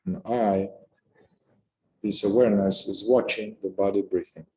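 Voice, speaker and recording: A man leading a guided meditation in a calm, unhurried manner, speaking in short phrases with pauses between them. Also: fairly low pitch, poor audio. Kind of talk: guided meditation, unscripted instruction.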